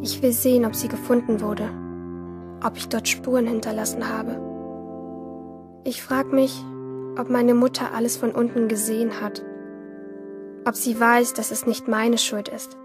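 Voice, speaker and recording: A woman's voice: a little high, little pitch movement, measured pace, long pauses, natural voice. An actress playing an anguished teenage girl in a radio play, narrating her inner thoughts in short, intense phrases. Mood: wistful. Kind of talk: radio play interior monologue. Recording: music behind the voice.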